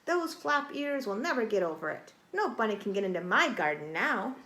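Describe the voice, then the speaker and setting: A woman's voice: mid-range voice, very animated, moderate pace, sharp tone, echoey room. A woman voicing a grumpy, boastful man gardener from a storybook, with huffy, emphatic declarations. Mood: huffy, defiant.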